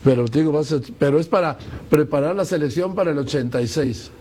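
A man's voice: on the high side, fast talker, darkish tone, slightly rough. A man in his seventies interviewing a guest, conversational, prompting with dates and history. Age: 70s. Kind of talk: casual interview.

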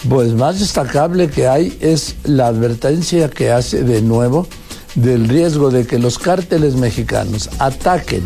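Male news presenter, warm and dark, relaxed delivery, faint music. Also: mid-range voice, measured pace, gravelly. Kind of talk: news bulletin.

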